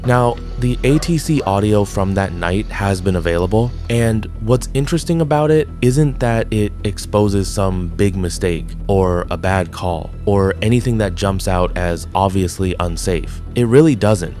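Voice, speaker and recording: A man with a fairly low voice delivers scripted analysis in a measured, deliberate way. He narrates like a documentary host, with faint music underneath. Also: a little mellow. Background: background music.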